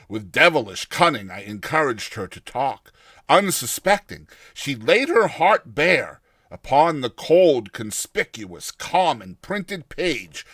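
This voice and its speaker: A man reading a classic short story aloud as a dramatized first-person narration, with a theatrical, expressive delivery. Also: mid-range voice, dry recording, clean studio sound.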